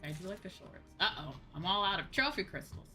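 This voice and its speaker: A woman livestreaming a game in casual, excitable chatter, often exclaiming as she talks. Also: on the low side, fairly quick, soft background music.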